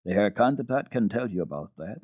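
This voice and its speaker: Man voicing a prim, indignant housekeeper woman, swooping pitch. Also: expressive, a little fast, husky, no room sound, phone-quality sound. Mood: indignant, alarmed. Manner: pompous, emphatic, repetitive. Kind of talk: dramatic children's storybook reading.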